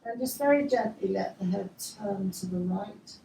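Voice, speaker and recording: A woman leads a gentle yoga relaxation in a very soft-toned, mellow voice with noticeable creak. Her phrases trail off softly as she instructs. The sound is roomy and echoey, picked up from a distance. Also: medium pitch, normal speed. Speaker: yoga teacher. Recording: distant mic, echoey room, faint noise.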